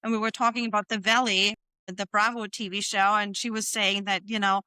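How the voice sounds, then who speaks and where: A woman's voice: mid-range voice, level tone, fairly quick, bright, forceful tone, clear, resonant tone, no room sound. A woman with a German accent hosting a casual podcast, gushing and slangy, with some swearing. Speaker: podcast host.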